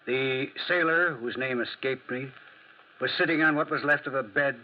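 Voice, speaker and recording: An actor playing a wry, measured investigator, narrating deliberately in a 1940s radio drama. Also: male voice, medium pitch, lightly acted. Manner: dry, deliberate. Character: wry, measured male investigator recounting a case. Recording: muffled lo-fi 1940s radio recording.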